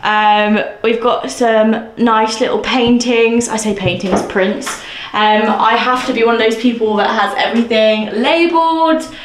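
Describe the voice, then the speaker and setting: A bubbly young woman in her twenties, chatty and gushing, with a somewhat high voice. She walks through bare, echoey rooms, talking into a handheld camera. A faint room hiss sits underneath. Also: measured pace. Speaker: vlogger. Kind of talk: casual home tour vlog. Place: mostly empty new flat, indoors. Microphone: camera mic, handheld camera.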